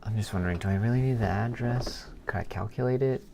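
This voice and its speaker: A man live-streaming as a programmer, thinking aloud in a halting mutter as he works, half talking to himself. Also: lowish voice, vocal fry. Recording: keyboard clicks and background noise. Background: computer keyboard typing and clicking.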